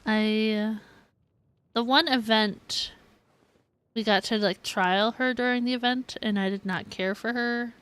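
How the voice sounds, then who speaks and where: A woman's voice: fairly high pitch, fairly even intonation, unhurried, a bit bright, smooth. A woman streaming a video game, chatting casually and giggly with her viewers. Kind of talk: casual livestream chat with viewers.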